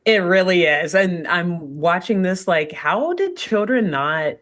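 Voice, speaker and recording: A young woman co-hosting a casual podcast, retelling a cartoon episode in an animated, joking way. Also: lowish voice, unhurried. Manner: animated storyteller, fond of joking asides. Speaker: podcast co-host recapping a cartoon. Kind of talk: casual chat.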